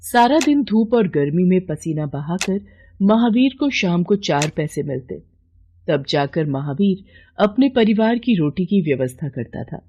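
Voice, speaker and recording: Husky, mellow woman narrating a story. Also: on the low side, big pitch swings, a little slow. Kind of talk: scripted moral story narration.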